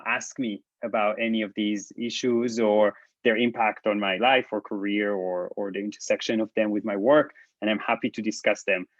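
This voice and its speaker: A man from Israel with an Israeli accent, giving a hesitant, halting talk full of restarts on a video call. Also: mid-range voice, dry recording. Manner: halting, many restarts and ums. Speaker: presenter. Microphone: laptop mic on video call. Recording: dull lo-fi video call audio.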